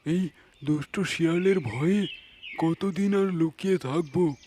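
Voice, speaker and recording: A man voicing a fearful, sorrowful animal parent in a cartoon, halting and despairing. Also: a little high, dark tone, slight background noise, close to an everyday voice. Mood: fearful worry. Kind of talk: cartoon voice acting.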